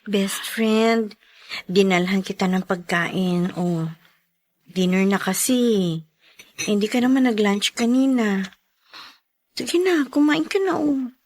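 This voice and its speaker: An actress playing a gentle, devout young best friend in a radio drama, coaxing and consoling in an earnest manner. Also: female voice, medium pitch, takes it slowly, pauses often, no room sound. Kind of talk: melodramatic radio drama acting.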